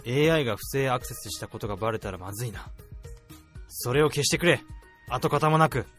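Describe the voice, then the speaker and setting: Man acting an intense, embittered engineer, animated delivery. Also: medium pitch, a little fast, soft background music, natural voice. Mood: tense, suspicious. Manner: blunt, emphatic, dramatic. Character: hot-tempered, embittered male AI developer. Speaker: lead character and narrator. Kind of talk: radio drama acting.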